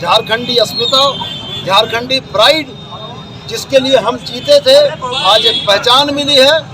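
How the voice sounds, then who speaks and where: A man's voice: extremely high pitch, measured pace. A man giving a public political speech as a local leader at an outdoor gathering. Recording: noisy outdoor crowd and traffic.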